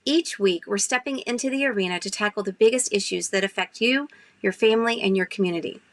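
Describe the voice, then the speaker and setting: A woman's voice: medium pitch, fast talker. A woman from Arkansas hosting a podcast with an upbeat, enthusiastic delivery. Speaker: podcast host. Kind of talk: scripted intro and friendly interviewing.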